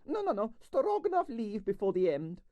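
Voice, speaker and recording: Storybook role: vain older Russian ballerina, piercing falsetto, Russian accent, imperious and emphatic, very dry close sound. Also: male voice, huge pitch swings, moderate pace, no echo, muffled recording. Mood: frank, emphatic. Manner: dramatic, emphatic, broken English.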